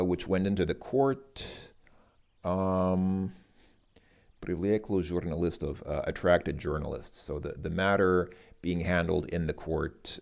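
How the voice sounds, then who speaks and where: A man giving an informal language lesson, reading and translating aloud in a halting way as he thinks through each word. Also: sits low, gravelly, a little mellow. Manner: halting, thinking aloud, sounding out words slowly. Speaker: host working through a Russian lesson as a learner.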